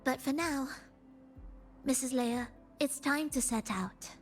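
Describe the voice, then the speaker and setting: A woman voicing a gentle, earnest young violinist in a video game, soft-spoken and measured. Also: sits high, faint music behind. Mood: tender, resolved.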